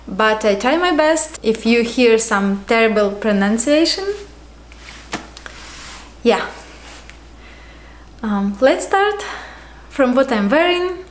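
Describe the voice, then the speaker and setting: A woman with a Ukrainian accent talks at a very slow, easy pace, her fairly high voice broken by ums and pauses. She is in her thirties and hosts a casual podcast from home. The room around her is echoey. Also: animated, slight background noise. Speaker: podcast host. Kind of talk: casual knitting podcast chat.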